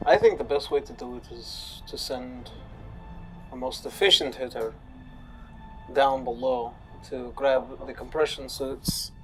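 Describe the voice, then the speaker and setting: A man playing a tabletop role-playing game, talking casually and deliberately. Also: medium pitch, rough recording. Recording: music clearly heard behind the voice.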